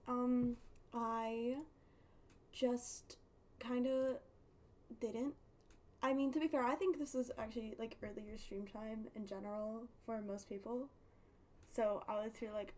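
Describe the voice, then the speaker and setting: A woman's voice: fairly high pitch, dark tone. A woman livestreaming a game, chatting casually to viewers in a playful, rambling, silly way. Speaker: livestreamer, host of a game stream.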